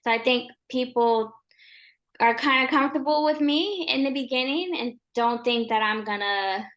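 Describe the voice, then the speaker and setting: A woman real estate agent speaking as a guest in a casual interview, with a soft voice and a relaxed, rambling way of talking full of ums and likes. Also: a little high, moderate pace.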